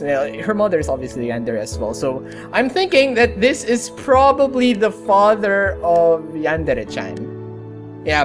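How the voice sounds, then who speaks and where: Female gaming host, deep for a woman, chatty and exclamatory, reacting casually, music underneath. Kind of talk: casual reaction commentary on a game.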